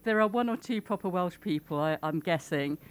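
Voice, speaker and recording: A woman's voice: fairly low pitch, fairly quick, clean tone. A woman in the audience offering a thoughtful comment at a film Q&A. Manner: thoughtful, hesitant start. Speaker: audience member. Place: cinema auditorium.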